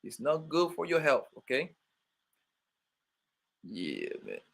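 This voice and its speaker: A man's voice: a little high, wildly swooping pitch, takes it slowly, creaky. A young man with a New York accent hosting a casual livestream, joking loosely and calling out chat viewers by name. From born in Colombia, raised in Brooklyn, New York. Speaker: livestream host.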